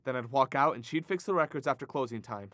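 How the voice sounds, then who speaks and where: A man's voice: mid-range voice, lively intonation, fast talker, a bit bright, dry recording, studio quality, lightly acted. A man playing an evasive, self-serving bank robber in a radio drama, nervous and pleading. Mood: confessing. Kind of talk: noir radio drama acting.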